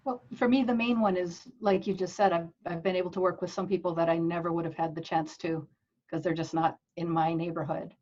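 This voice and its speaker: A woman's voice: lowish voice, steady tone, a little fast, darkish tone. A woman chatting casually as an actor on an online panel, over a lo-fi video call.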